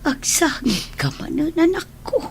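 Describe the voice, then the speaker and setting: A woman's voice: sits high, sing-song, measured pace, slight background noise, exaggerated voice. A woman with an Ilocano Filipino accent playing a tender, worried older mother, soothing and emotive, in a radio drama. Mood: pitying, tearful.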